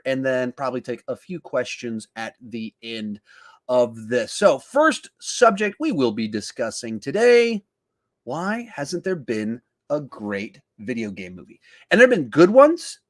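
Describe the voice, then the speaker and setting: A man in his forties hosting a podcast, talking casually and explaining his points with emphasis on key words. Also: mid-range voice. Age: 40s. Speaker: podcast host. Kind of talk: casual film discussion podcast.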